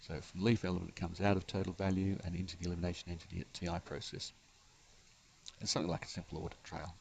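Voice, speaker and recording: A man giving an unscripted software tutorial, explaining step by step in a halting way as the developer. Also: deep voice, level tone, vocal fry, a little hiss or hum. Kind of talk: unscripted screencast tutorial narration.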